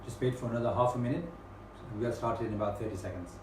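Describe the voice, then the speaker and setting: A British man from the UK hosting a webinar, speaking in a measured way with frequent ums and uhs. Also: medium pitch, fairly even intonation, background noise. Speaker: webinar host. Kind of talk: presenting a webinar. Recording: echoey room, mic at a distance.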